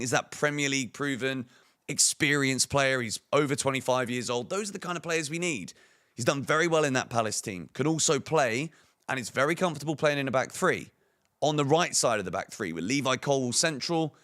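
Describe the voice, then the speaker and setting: A man with a croaky, hoarse voice, giving opinionated football transfer news commentary on a fan channel, full of emphatic rhetorical questions. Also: mid-range voice, a bit bright.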